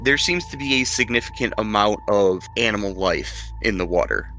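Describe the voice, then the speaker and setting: A man narrating a tabletop roleplaying game as game master, improvising descriptions in a conversational, halting way. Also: fairly low pitch, animated, pushed and bright, slight background noise, poor audio. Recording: music playing behind the voice.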